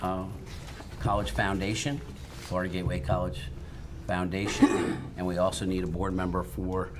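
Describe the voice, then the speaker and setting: A man speaking as a college president at a board meeting, polite and slightly halting with many fillers. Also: low-pitched, flat delivery, slight background noise. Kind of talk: formal meeting remarks.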